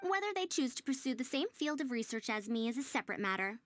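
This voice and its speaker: A woman's voice: fairly high pitch, very animated, fast, a bit bright, no room sound. A woman playing a prim, proud but kindly young-sounding researcher in a video game, speaking formally and articulately in wordy, old-fashioned phrases. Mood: thoughtful, kindly. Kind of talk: scripted video game character dialogue.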